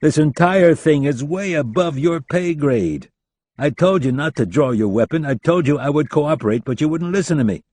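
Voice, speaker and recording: A man's voice: on the high side, lively intonation, normal speed, darkish tone, no room sound, voice pushed up. A man voicing a cocky, blunt male covert operative who curses and talks down to people. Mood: curt. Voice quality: blunt, scornful, cursing.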